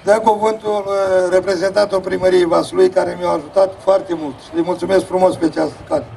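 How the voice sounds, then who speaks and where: A man's voice: high voice, level tone, creaky, dark tone. A man acting as master of ceremonies outdoors, informally introducing speakers. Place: open-air fairground stage. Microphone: distant camera, speech over loudspeakers. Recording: distant noisy outdoor PA.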